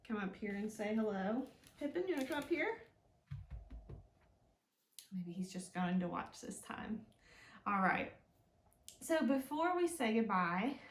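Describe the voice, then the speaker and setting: A woman leading a children's storytime as a librarian, reading aloud expressively and talking gently and simply to young listeners. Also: medium pitch, slight background noise. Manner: gentle, simple, encouraging talk aimed at small children. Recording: roomy, echoing indoor sound.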